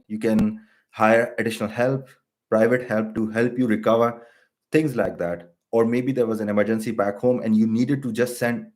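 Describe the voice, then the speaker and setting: Thirties man from Pakistan, finance YouTuber; low voice, hesitant, filler-heavy but steady explanations. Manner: hesitant, many ums and uhs, steady and explanatory. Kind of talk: live-stream explainer with viewer Q&A.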